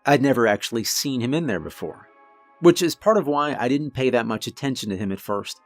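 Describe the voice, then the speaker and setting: A man with a deep voice narrating a first-person horror story in a calm, conversational way. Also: brisk, faint music behind.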